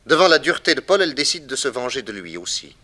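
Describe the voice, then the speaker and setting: A man's voice: medium pitch, big pitch swings, fast, sharp tone. A man acting a shrewd, confident private detective in an emphatic, declamatory 1960s French radio crime drama style. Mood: dramatic explaining. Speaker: detective hero and narrator.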